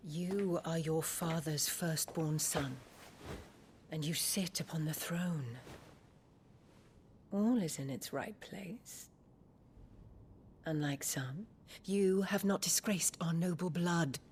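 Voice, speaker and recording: A woman's voice: low-pitched, takes it slowly, pauses often, a little mellow, a little hiss or hum. An actress with a British accent playing a cold, scornful middle-aged noblewoman in a video game, with an imperious, clipped delivery. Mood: cold, haughty. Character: cold, haughty, scornful noblewoman, archduke's wife. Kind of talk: video game cinematic acting.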